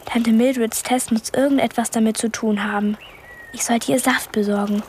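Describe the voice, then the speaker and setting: A woman's voice: on the high side, steady tone, moderate pace, a little mellow, slight background noise, lightly acted. An actress playing an earnest, curious twelve-year-old girl in a radio play, a little hesitant in manner. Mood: pensive.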